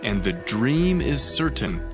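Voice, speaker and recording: A man's voice: medium pitch, sing-song, slow pace, gravelly, exaggerated voice. An actor playing a solemn, fervent Hebrew prophet addressing a king in an oratorical, emphatic dramatized scripture style. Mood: proclaiming. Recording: music behind the voice.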